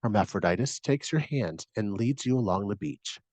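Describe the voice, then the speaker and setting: A man with a fairly low voice leads a guided meditation. He reads scripted instructions and imagery in a soothing, measured way, and the recording is close and dry. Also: animated, darkish tone, no room sound.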